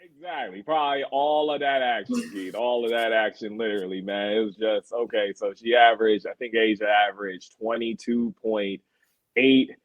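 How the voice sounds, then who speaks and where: A man's voice: fairly low pitch, normal speed, dry recording, low-quality recording. Slangy male sports anchor on earbuds. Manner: relaxed and slangy, many fillers. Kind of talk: casual sports talk. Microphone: earbuds on a call.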